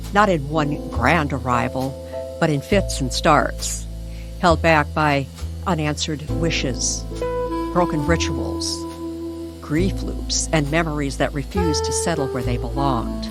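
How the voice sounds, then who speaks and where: Female narrator for a dramatic story, unhurried and measured delivery, music underneath. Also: low-pitched.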